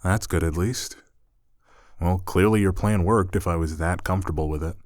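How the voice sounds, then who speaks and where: A man's voice: low voice, moderate pace, no room sound, natural voice. A man playing an easygoing, teasing, slightly flustered roommate in a conversational ASMR roleplay. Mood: soft, sheepish. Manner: casual, hesitant, self-correcting, dry teasing.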